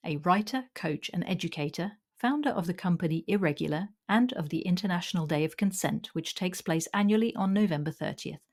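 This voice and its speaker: A woman hosts a podcast and reads her opening script clearly before turning to her guest. Her voice sits a little low for a woman. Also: a little mellow. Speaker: podcast host. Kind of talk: scripted intro and interview.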